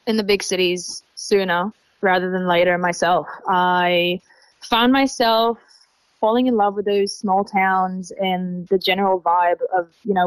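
A woman in her mid-twenties from Israel speaks with an Australian-sounding accent. Her pitch is average for a woman. She answers conversationally as a guest, in a dry recording without room echo. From Tel Aviv, Israel. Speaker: interview guest, young traveler.

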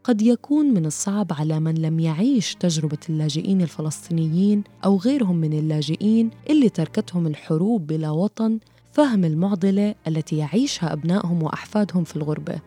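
A woman reading scripted documentary narration in a measured, formal way. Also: lowish voice, lively intonation, mellow, faint music behind.

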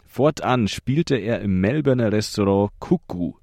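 A male radio presenter reading a scripted narration in a measured, even way. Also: lowish voice, creaky, no echo.

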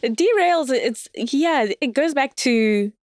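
A woman's voice: high-pitched, expressive, slow, a little mellow, dry recording, clean studio sound. A woman guest in casual conversation, candid and self-deprecating, laughing often as she talks. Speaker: podcast guest. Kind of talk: casual dating chat.

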